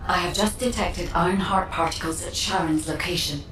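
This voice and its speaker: A woman's voice: lowish voice, fairly bright tone, lightly acted. Woman playing a composed AI guide, roomy sound. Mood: composed, informative. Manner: formal, measured, matter-of-fact. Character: composed female AI guide, precise and haughty. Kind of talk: video game scripted dialogue. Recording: roomy, distant, faint noise.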